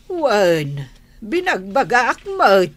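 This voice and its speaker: A woman's voice: medium pitch, huge pitch swings, drawn-out words, no echo, heavily acted. An Ilocano Filipino woman playing a resigned, placating middle-aged mother in a radio drama.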